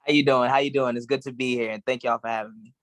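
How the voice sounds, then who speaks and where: A man's voice: medium pitch, fast talker, dry recording. Young guy from maryland, laid-back interview answers, dry close mic. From Maryland, USA. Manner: relaxed, rambling with many fillers. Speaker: guest actor.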